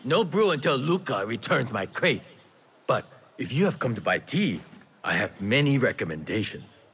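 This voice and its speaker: An actor playing a wily, affectionate old tea merchant in a video game, with a theatrical, teasing, exclamatory manner. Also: male voice, mid-range voice, very animated, normal speed, a bit bright, slight background noise. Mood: brisk, suspicious. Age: older. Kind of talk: video game character acting.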